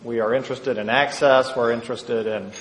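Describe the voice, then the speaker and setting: A middle-aged man speaking as a university chancellor in an interview, measured and earnest. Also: medium pitch, steady tone. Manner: measured, earnest, restarts phrases. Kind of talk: promotional interview. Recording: echoey room with long reverb, faint noise.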